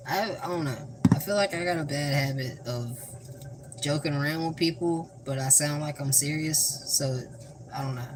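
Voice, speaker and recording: A young man with a Southern US accent casually talking through a cooking vlog in a flat, monotone, deadpan way, rambling and joking. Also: fairly high pitch, moderate pace. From American South. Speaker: cooking vlogger. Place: home kitchen. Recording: noisy home recording.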